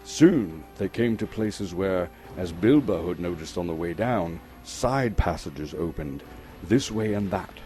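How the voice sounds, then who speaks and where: A man giving a dramatic, suspenseful reading of a story, theatrical and full of deliberate pauses. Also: deep voice, expressive, warm tone. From Canada. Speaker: storyteller, actor reading an audiobook chapter. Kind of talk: dramatic scripted narration. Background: music under the voice.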